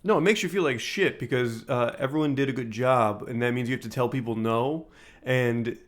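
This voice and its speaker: A man's voice: medium pitch, fairly quick. A man co-hosting a podcast in loose, casual conversation with a dry joking manner. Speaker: podcast co-host. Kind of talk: casual chat.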